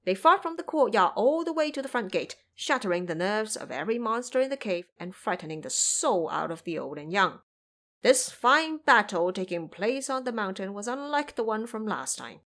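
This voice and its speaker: Woman, narrator, scripted storybook reading, measured, descriptive, middle pitch. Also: big pitch swings, fairly bright tone.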